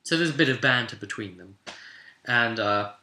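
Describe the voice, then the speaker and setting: A British man recapping a tabletop role-play session as game master in a chatty, cheeky, animated storytelling style, with casual slang. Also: medium pitch, normal speed, a little hiss or hum. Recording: roomy, echoing room sound.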